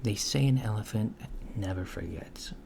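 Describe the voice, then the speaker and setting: A man playing a curious, puzzled alien researcher in a soft-spoken ASMR roleplay, halting and matter-of-fact, often slipping into whispers. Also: lowish voice. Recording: clear background noise with keyboard typing. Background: computer keyboard typing.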